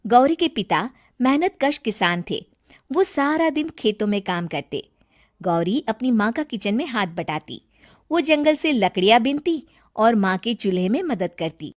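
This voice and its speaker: Casting: female storyteller with a high voice for scripted cartoon moral tales. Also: sing-song, a little slow. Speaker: storyteller, narrator.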